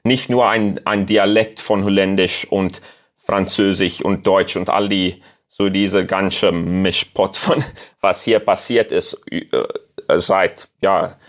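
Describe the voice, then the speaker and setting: Interview guest: South African man, Afrikaans accent, fairly low voice, halting German, lots of fillers. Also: steady tone. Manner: halting, searching for German words with many fillers. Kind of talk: casual interview.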